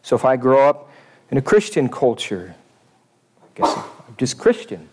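Preacher, male, mid-pitched, thoughtful and measured delivery. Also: very animated, background noise. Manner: thoughtful, measured, reads quotes aloud. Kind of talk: sermon.

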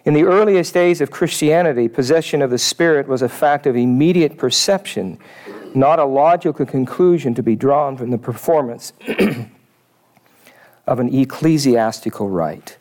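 Guy preaching, measured and reflective, mid pitch. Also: a little mellow. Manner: thoughtful, measured, reads quotes aloud. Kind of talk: sermon.

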